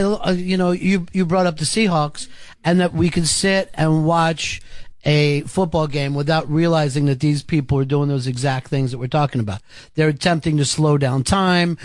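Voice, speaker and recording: A man's voice: a little high, no room sound. A man talking as a guest in casual radio chat, thoughtful, with many restarts and "you know"s.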